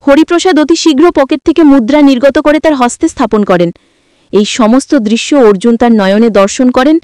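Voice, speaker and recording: A woman's voice: sits high, moderate pace, darkish tone, smooth, dry recording. A woman narrating a scripted moral story in formal, literary wording, as a dramatic storyteller.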